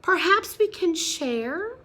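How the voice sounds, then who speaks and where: A woman's voice: very high voice, expressive, takes it slowly, pushed and bright, pitched above the performer's own voice, exaggerated voice. A woman voicing an eager, friendly storybook badger. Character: friendly sniffing badger bringing food.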